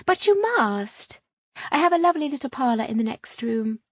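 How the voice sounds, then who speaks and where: A woman reading an audiobook, voicing an effusive young milliner who chatters in a high, sing-song voice. The recording is dry. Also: sing-song, moderate pace, no room sound. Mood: gushing.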